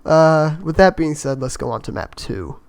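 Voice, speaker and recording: A man with a slightly raspy voice from being a bit sick, giving easygoing, rambling voice-over commentary on his own game run and laughing at his own mistakes. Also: on the high side, lively intonation, normal speed, a little mellow. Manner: easygoing, rambling, self-mocking with chuckles. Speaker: gameplay commentator.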